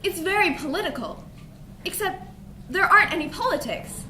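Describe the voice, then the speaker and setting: A woman's voice: extremely high pitch, lively intonation, moderate pace. A woman acting an earnest, pompous theatregoer in an amateur stage comedy. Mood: earnest, pretentious. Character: earnest, pretentious theatregoer gushing self-contradictory praise. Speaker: student actor in a school play. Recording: echoey stage recording with faint noise.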